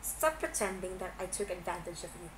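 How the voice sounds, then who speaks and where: Brief: woman playing young male executive in audio fan fiction; cold, gruff, curt, accusing; noisy home recording. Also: on the low side, very animated, a little fast, roomy sound. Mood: cold, demanding. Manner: curt, demanding. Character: cold, suspicious young businessman, angry and accusing. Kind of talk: dramatised fan fiction reading.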